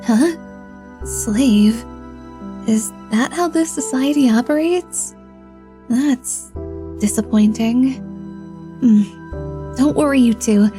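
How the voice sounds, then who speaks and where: Girl voicing innocent fire genasi in a fantasy story, super slow n high, mellow, polite and kinda hesitant. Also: soft background music. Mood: earnest. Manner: hesitant, polite, soft-spoken. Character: sweet, innocent, stoic young fire genasi woman. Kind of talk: fantasy audio drama acting.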